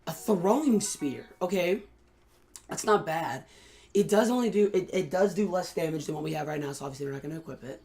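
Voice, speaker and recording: A hoarse young man in college hosting a casual, excitable let's-play commentary with lots of fillers. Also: fairly high pitch, brisk. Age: young adult. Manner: excitable, chatty, many fillers. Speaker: gaming channel host.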